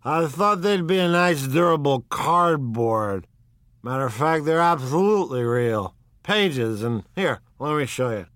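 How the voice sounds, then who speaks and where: A stout, middle-aged drunk man, as a male narrator puts him on, blurting and rambling with giddy excitement. His voice jumps high and loud above the reader's own, swooping around as he talks. The sound is dry and close, with no room around it. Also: measured pace, dry recording. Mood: excited.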